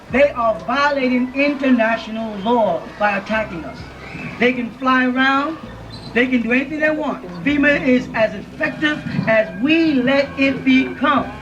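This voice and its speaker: A man's voice: very high-pitched, a bit bright. A man giving an emphatic, informal lecture to a live audience in a room, often checking in with his listeners. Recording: dull lo-fi audio from a distant mic.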